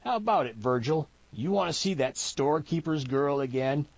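Western audiobook villain, male reader: hulking rural American mountain man, pitched up, highly animated, folksy and coarse. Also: moderate pace, dry recording, dull audio. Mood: taunting. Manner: folksy drawling threats and stammering pleas. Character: big brutish mountain man outlaw, swaggering bully turned craven pleader.

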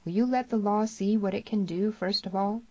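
A woman's voice: medium pitch, normal speed, dark tone, dry recording, dull audio, pitched above the performer's own voice, natural voice. A woman voicing a bold, passionate young frontier woman in a western audiobook. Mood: pleading.

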